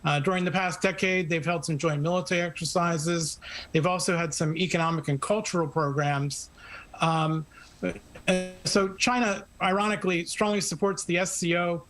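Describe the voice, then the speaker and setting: A man giving measured, explanatory analysis as a guest in a news interview. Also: a little high, fairly even intonation, a bit bright.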